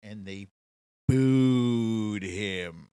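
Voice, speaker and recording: A man's voice: lowish voice, drawn-out words, dark tone, smooth, dry recording, studio quality. A man hosting a casual political commentary livestream in a sarcastic, mocking and profane manner. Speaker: show host.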